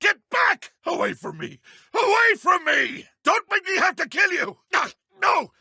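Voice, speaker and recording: An actor voicing a haughty, theatrical dark wizard villain in a video game, with pompous, archaic, taunting diction. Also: male voice, extremely high pitch, sing-song, unhurried, creaky, dry recording, studio quality, full character voice. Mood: outraged. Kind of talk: video game combat voice clips.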